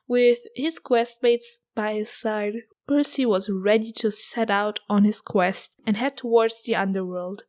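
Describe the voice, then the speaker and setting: A woman's voice: mid-range voice, a little mellow. A woman hosting a podcast, reading a scripted chapter recap with playful asides to the listener. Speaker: podcast host.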